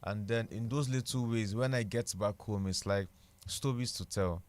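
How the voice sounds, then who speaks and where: A young man with an Igbo Nigerian accent, a guest in a relaxed radio interview, thinking aloud as he talks. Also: fairly low pitch, moderate pace. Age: young adult. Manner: thoughtful, repeats words while searching. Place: radio studio.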